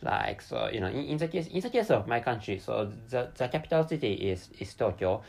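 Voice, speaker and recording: A man speaking English with a Japanese accent in a solo spoken commentary. He talks haltingly, restarting phrases and filling gaps with "you know" as he searches for the right word. His voice sits at an ordinary middle pitch. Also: sing-song, vocal fry, darkish tone. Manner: halting, searches for words, many restarts and fillers. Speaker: podcast host.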